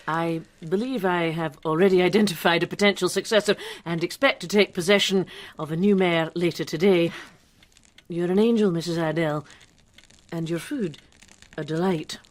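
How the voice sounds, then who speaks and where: An actress in a period radio drama playing a woman who passes as a charming, flustered male doctor, with halting, courtly speech. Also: on the low side, close to an everyday voice. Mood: smoothly gallant. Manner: halting, stammering, gallant, ingratiating.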